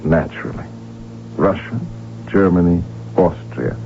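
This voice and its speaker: A thin, humming vintage radio sound frames a proud archduke. His low voice is imperious and emphatic, that of an ambitious royal used to having his way. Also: a little slow, vocal fry. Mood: proud, defiant. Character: proud, defiant, ambitious archduke, devoted husband. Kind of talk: radio drama acting. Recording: narrow, phone-like old radio recording with hum.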